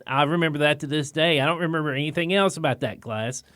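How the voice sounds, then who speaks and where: Easygoing radio host, dry close sound. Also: male voice, a little high, quick pace, fairly bright tone, dry recording. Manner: easygoing, reminiscing, plain-spoken. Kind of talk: casual radio talk. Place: radio studio.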